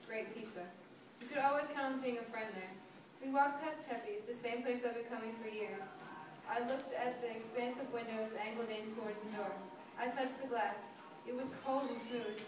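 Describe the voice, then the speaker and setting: A woman's voice: a little high, natural voice. A young woman student reading dramatically as a shaken marcher on streets she once knew well. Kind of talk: dramatic reading of poetic narrative. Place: large echoey indoor room, distant mic. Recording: distant, very echoey hall with noise.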